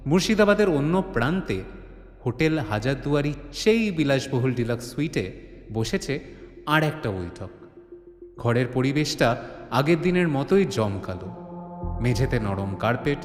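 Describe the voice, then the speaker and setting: A man narrating a scripted audio drama in a dramatic storytelling style, with an echoing, reverberant sound. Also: medium pitch. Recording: echoing studio reverb with faint music bed.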